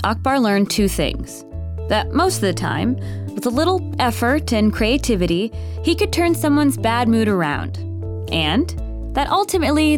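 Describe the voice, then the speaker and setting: Guitar music plays clearly under an actress as a female storyteller. She reads scripted narration in a mid-pitched, expressive voice, composed and wry. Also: close to an everyday voice.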